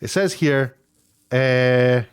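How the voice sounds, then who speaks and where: A man giving casual, off-the-cuff commentary in a halting, rambling way, often breaking off to rephrase. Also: mid-range voice, clear, resonant tone, no room sound, studio quality. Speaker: online commentator, former broadcast worker.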